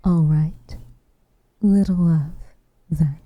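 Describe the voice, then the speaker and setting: A woman playing a reserved, tenderly affectionate witch in a whispered ASMR roleplay, with soft breathy delivery and measured, formal phrasing. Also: sits low, steady tone, no echo. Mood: gentle, tentative.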